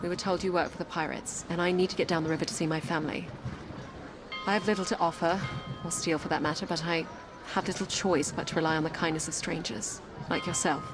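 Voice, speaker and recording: A young blind princess on the road, acted by a woman, speaks in a slightly low voice. She is calm, earnest and determined, in the manner of an audio adventure game, with music behind her. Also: fast talker, natural voice. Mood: earnest, polite.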